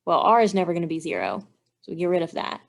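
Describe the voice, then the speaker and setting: A woman's voice: lowish voice, sing-song, fast, creaky. A woman engineering instructor giving a methodical, step-by-step walkthrough, thinking aloud as she works through math.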